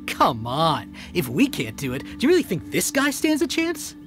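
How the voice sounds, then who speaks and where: A scoffing bandit sidekick from a video game. The speech is slow and dismissive, with big pitch swings and music behind. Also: male voice, wildly swooping pitch, exaggerated voice. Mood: incredulous. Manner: incredulous, scoffing. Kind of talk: video game character acting.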